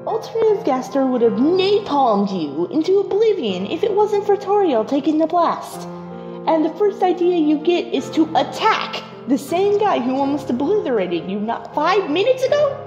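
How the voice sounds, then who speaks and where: A woman's voice: extremely high pitch, lo-fi sound, full character voice. A woman playing a sarcastic asker in a scripted dub, lecturing in a pointed way. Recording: echoey room, music behind.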